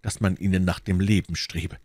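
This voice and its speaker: A man's voice: low-pitched, fairly even intonation, moderate pace, a little mellow, no echo. A man voicing a loyal French servant and royal messenger in an audiobook, deferential and earnest. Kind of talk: dramatic audiobook character reading.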